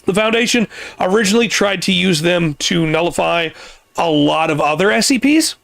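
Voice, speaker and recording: A man hosting a casual podcast, explaining things at length with pauses and restarts, his voice getting worn. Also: high-pitched, animated, vocal fry, a bit bright, no room sound. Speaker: podcast host.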